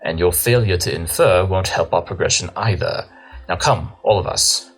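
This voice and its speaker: Man acting a very deep, pompous detective stallion. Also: normal speed, faint music behind, poor audio. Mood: brisk, lecturing. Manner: pompous, verbose, precise. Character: arrogant, brilliant pony detective, dryly condescending. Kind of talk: scripted audio drama acting.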